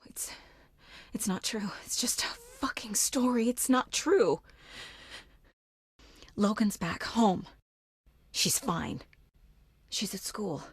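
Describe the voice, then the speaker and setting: An actress playing a level-headed, dry-witted FBI agent in a video game, with a measured, understated delivery as if thinking aloud. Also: female voice, mid-range voice, animated, breathy edge, no room sound, in a whisper, heavily acted. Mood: anxious.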